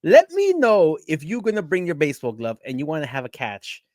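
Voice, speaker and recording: A man from Queens with a New York accent, co-hosting a casual sports podcast in an animated, slangy way, often repeating phrases for emphasis. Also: fairly high pitch, a little fast, no room sound, studio quality. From Queens, New York City. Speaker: podcast co-host.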